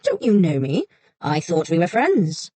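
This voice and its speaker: A woman's voice: on the low side, wildly swooping pitch, a little slow, mellow, slightly rough, no room sound, full character voice. A woman voicing a sly fox spirit in a storybook, sweet and coaxing at first, haughty and stern later.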